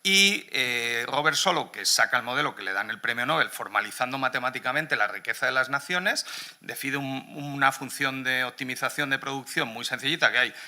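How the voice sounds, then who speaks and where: A man's voice: mid-range voice, lively intonation, brisk, pushed and bright. A middle-aged man with a Spain Spanish accent speaking off the cuff on a panel, blunt and colloquial, peppered with question tags. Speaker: panel speaker at a business conference. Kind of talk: informal, off-the-cuff panel talk on economics.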